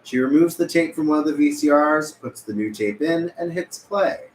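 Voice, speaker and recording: Animated male script narrator in echoey room. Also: a little high, moderate pace. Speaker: stage directions reader. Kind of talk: scripted narration at a table read. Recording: roomy, echoey with room noise.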